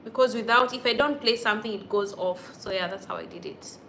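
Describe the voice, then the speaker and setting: A woman's voice: mid-range voice, fairly quick, pushed and bright, a little hiss or hum. A young woman from Kenya, chatting casually on a livestream and answering viewer comments as they come. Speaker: YouTube vlogger on a livestream.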